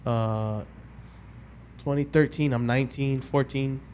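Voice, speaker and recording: A man's voice: medium pitch, steady tone, slow, darkish tone, a little hiss or hum. A man in his late twenties telling a personal story as a guest on a casual podcast, rambling, restarting often and losing track of the dates.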